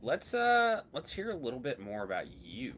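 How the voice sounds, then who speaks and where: A man's voice: medium pitch, wildly swooping pitch, normal speed, a bit bright, slight background noise. A man hosting a live trading stream, chatting casually and teasing his guest. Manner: laid-back, jokey, teasing. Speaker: host. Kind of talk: casual interview chat.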